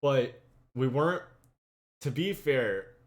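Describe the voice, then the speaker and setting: A man's voice: medium pitch, expressive, slow pace. A man hosting a casual chat among friends, joking and laughing often. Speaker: streamer and podcast host.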